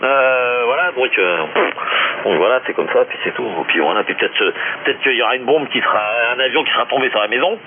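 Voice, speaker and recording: Fast, jokey man over noisy shortwave radio. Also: medium pitch, animated, narrow, tinny audio. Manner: jokey, rambling, slangy. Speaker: amateur radio operator. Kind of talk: casual radio chat with friends.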